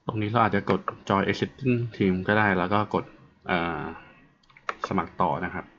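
A man presenting an informal tutorial, explaining step by step in a halting way. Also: sits low, a bit bright. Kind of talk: informal app walkthrough narration. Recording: muffled, dull audio with faint noise and clicks.

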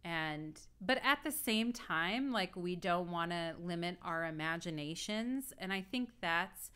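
Brief: woman in her 30s, astrologer on webcam, lower pitch, crisp and clear, rambling casual tone. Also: animated, unhurried, sharp tone. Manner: rambling and reflective, full of "like" and "you know". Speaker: astrologer and tarot reader. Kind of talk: casual video talk. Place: indoor room at home.

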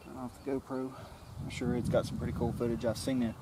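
A man's voice: mid-range voice, fast, heavy background noise. A man in his forties casually explaining his creek fishing for his outdoor vlog, talking straight to a nearby camera outdoors. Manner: relaxed, explains as he fishes. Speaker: outdoor vlogger. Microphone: camera-mounted mic, close framing. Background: faint outdoor nature ambience.

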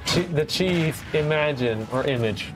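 A man's voice: on the high side, lively intonation, unhurried. A young man casting a fighting game, bantering in a loose, teasing, slangy way. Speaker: esports caster. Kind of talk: casual game commentary chat. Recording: faint music behind.

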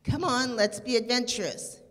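Woman voicing a young girl, high, excitable, bold, storybook character, long reverb. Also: moderate pace, slight background noise, close to an everyday voice. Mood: coaxing.

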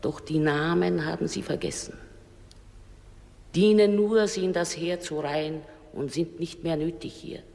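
A grieving old mother from the shadow world speaks in a radio play. She declaims solemnly and slowly, in a reverberant hall. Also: low-pitched, steady tone, vocal fry, dark tone. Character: grieving old fishwife, a dead mother and juror among shades. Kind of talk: German radio drama stage-style acting.

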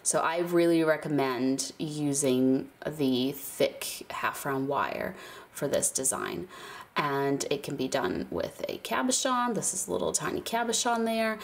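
A woman's voice: sits low, very animated, measured pace, gravelly. A woman with a stuffy nose from a cold, chatting casually as she walks through a hands-on craft tutorial, with fillers and small laughs. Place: indoors at a craft table, birds outside.

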